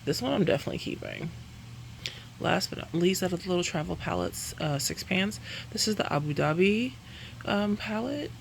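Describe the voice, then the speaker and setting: A woman's voice: lowish voice, sing-song, moderate pace, vocal fry, a little hiss or hum. A woman chatting casually through a makeup review, rambling and correcting herself, laughing between sentences. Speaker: beauty vlogger.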